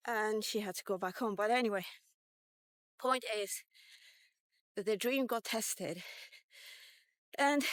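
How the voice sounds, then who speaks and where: A woman's voice: a little high, fairly bright tone, no echo. A woman in her fifties telling a personal tale in a casual, rambling, reflective vlog style. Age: 50s. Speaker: vlogger.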